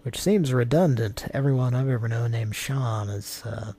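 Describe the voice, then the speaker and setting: Husky mellow guy riffing about prank calls, cussing and joking around. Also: mid-range voice, moderate pace. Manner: rambling, jokey, profane. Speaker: host. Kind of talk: casual chat.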